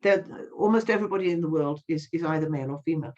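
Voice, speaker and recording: A woman's voice: sits low, very fast, darkish tone. An older woman giving earnest interview answers, impassioned, often restarting and repeating words. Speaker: interviewee, activist. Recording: slightly dull, lo-fi sound.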